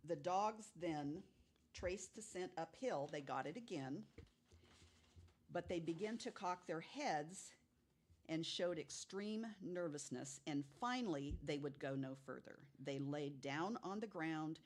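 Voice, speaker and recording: A woman's voice: sits low, lively intonation, slow pace. A woman telling stories of unexplained cases in a casual way, as a guest on a podcast.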